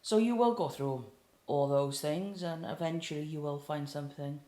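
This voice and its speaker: Welsh woman, late 20s, casual guest, mid pitch, echoey room. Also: normal speed. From South Wales. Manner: chatty, relaxed, with frequent fillers. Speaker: guest singer-songwriter. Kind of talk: casual interview chat. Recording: roomy echo.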